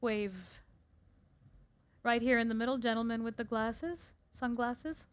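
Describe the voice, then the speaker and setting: A woman moderates a live onstage interview, tossing out quick, playful questions in a slightly high voice. She then steers the audience Q&A and hands the mic around. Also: steady tone. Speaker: host and moderator. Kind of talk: rapid-fire onstage interview.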